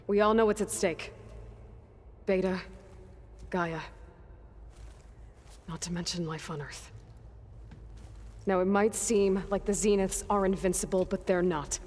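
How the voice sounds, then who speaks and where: A woman's voice: medium pitch, a little mellow, lightly acted. An actress playing a determined, plain-spoken young warrior who leads her allies in a video game cutscene. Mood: rallying. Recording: long reverb, music behind. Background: music.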